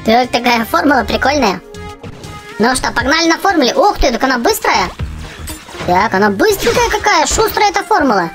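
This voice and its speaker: A youthful-sounding gaming host giving excited, chatty gameplay commentary, often exclaiming and repeating phrases. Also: sits high, normal speed, music in the background. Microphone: voice-over on game footage.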